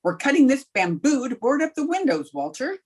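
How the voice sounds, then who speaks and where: A woman's voice: high voice, big pitch swings, fairly quick, darkish tone, slightly rough, heavily acted. A woman playing a wisecracking, sardonic schoolteacher in an old-time radio comedy. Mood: wry. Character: wisecracking, dry-witted female high school teacher.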